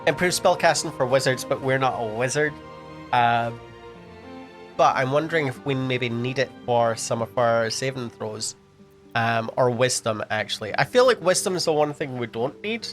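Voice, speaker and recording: A man's voice: medium pitch, normal speed, soft background music. A man commentating a video game at a casual pace, sniffly, thinking aloud with frequent ums and restarts. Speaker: gameplay commentator. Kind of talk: casual let's-play commentary.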